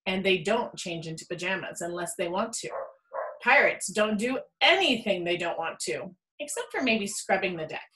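A woman reading a children's picture book aloud in an expressive storytelling style. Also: mid-range voice, moderate pace, rough recording. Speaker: storybook reader.

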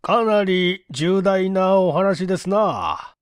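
Cool, probing police chief for mystery fiction, acted by a male reader, formal, deliberate, dry sound. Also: high voice, clean tone, dry recording. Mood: grave, formal.

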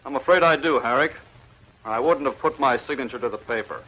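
An actor playing a firm, authoritative president in a 1950s television space serial, speaking formally and curtly. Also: male voice, medium pitch, fairly quick, lightly acted. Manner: formal, curt, official. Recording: muffled, hissy 1950s film soundtrack.

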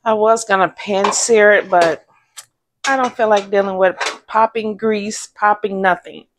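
A woman in her thirties talks through a home cooking session at an unhurried, slow pace. Her tone is relaxed and rambling, close on a clip-on mic in a small kitchen, with a faint hiss behind her. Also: medium pitch. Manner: relaxed, rambling, laughs to herself. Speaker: vlogger. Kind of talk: casual cook-along chat. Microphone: clip-on lapel mic.

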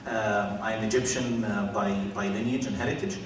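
Panel guy, low voice, humble and joking, stumbles at first, big echoey room. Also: fairly even intonation, normal speed. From born in the USA, raised in Canada. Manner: modest, good-humoured, stammering starts. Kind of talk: panel self-introduction. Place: large lecture hall. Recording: echoey hall from far off, noisy.

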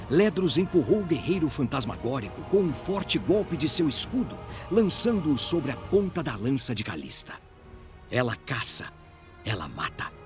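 A man with a Brazilian accent giving dramatic scripted narration of a fantasy audio drama. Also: on the high side. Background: music.

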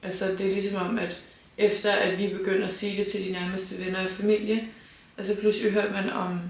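A woman in her twenties talking frankly to camera in a casual vlog, seated beside her partner in a bright room. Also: medium pitch, level tone. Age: 20s. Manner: open, frank, laughs lightly. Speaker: vlogger. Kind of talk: casual personal talk to camera. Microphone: camera on tripod, seated beside partner. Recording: roomy echo.

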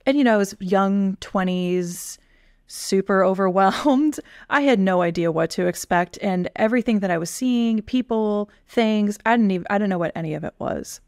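A woman in her thirties, a guest on a video call interview, telling a story from her early career in a lively, chatty way. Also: medium pitch, dry recording, clean studio sound. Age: 30s. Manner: lively storyteller, quick asides. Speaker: guest, relationship manager. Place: bedroom at home. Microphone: headphones with a desk mic on a video call.

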